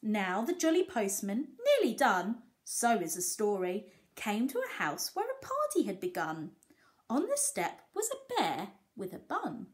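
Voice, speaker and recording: A woman reading a picture book aloud to young children, expressive, pausing to explain and ask simple questions like a teacher. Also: medium pitch.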